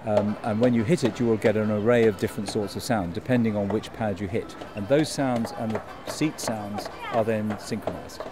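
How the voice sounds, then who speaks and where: A man's voice: on the low side, normal speed, hardly any pauses, music in the background. An older man, a university researcher, explaining a project earnestly on a busy street, asking rhetorical questions. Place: outdoors on a busy city street.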